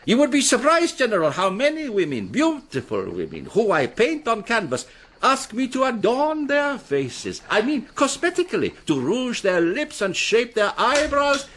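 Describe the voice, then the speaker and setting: An actor in a radio drama playing a flirtatious, theatrical Spanish painter with an expansive, rambling manner and a husky voice. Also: male voice, extremely high pitch, huge pitch swings, normal speed, a bit bright, slight background noise, heavily acted. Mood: flirtatious, teasing. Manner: expansive, digressive, self-dramatising. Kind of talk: BBC radio drama acting.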